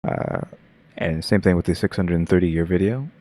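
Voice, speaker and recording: Low-voiced male reaction host; loose, rambling delivery full of fillers. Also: moderate pace, mellow, dry recording. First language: English. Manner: rambling, heavy use of filler "like". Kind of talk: casual reaction commentary.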